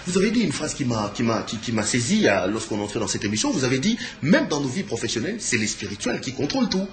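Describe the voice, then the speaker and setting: A man from the Congo with a Congolese French accent, hosting a television interview in a halting way, repeating words as he searches. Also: mid-range voice, sing-song. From Democratic Republic of the Congo.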